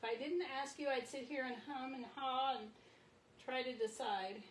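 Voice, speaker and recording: A middle-aged woman with a sniffly cold, chatting her way through a live craft demo and softly exclaiming as she works. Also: high-pitched, fairly even intonation, moderate pace. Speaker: marbling artist streaming live. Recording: echoey room with faint background noise.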